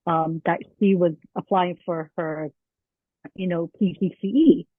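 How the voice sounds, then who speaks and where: A woman's voice: on the low side, steady tone, a little slow, dry recording. A woman with a mild Edinburgh Scottish accent tinged with American, chatting in an interview with frequent fillers and laughs. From Scotland. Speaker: guest teacher. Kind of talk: casual conversational interview. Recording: tinny phone-like line.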